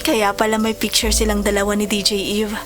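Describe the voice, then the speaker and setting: A woman's voice: mid-range voice, completely flat delivery, a little fast, lightly acted. A woman playing a caring, forgiving middle-aged stepmother with a Filipino accent in an audio drama. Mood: tender. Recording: music bed behind the voice.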